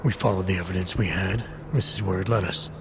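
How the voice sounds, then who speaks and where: An actor playing a serious, guarded and quietly stubborn investigator in a video game, speaking in terse, deliberate lines. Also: male voice, sits low, steady tone, noisy background, lightly acted. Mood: guarded, sober. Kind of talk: video game cutscene acting.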